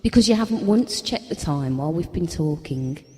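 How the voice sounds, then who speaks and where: A woman's voice: deep voice, animated, normal speed, a little mellow. An actress playing a guarded, teasing woman in an audio play, wry and probing. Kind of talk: short audio play dialogue. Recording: long hall-like reverb.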